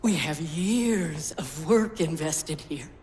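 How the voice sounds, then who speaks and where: A woman's voice: deep voice, lively intonation, slow, a little mellow, a little hiss or hum. An actress voicing a worried woman scientist under attack in a game cutscene, anxious but wry. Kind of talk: video game cutscene acting. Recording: game audio with long reverb, faint ambience.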